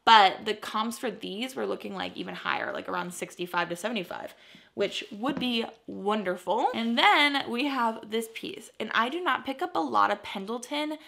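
A woman's voice: a little high, normal speed, gravelly, bright, forceful tone. A young adult woman with an American accent, chatting casually and enthusiastically to camera as a vlogger showing her finds at home. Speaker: vlogger, clothing reseller. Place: bright furnished living room at home.

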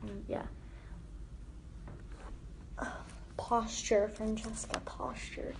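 A teenage girl chatting casually on a live stream from her phone, answering viewer comments with lots of "like" and trailing off into quiet asides. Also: a little high, flat delivery, extremely slow, vocal fry, background noise. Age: teens. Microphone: phone front camera held close.

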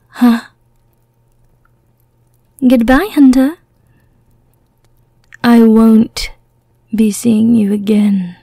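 A woman voicing a teasing, menacing yet merciful female vampire in an ASMR roleplay, in a whispery, deliberate way. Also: fairly high pitch, dark tone, dry recording, exaggerated voice. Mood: softly warning. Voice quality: breathy, whispery.

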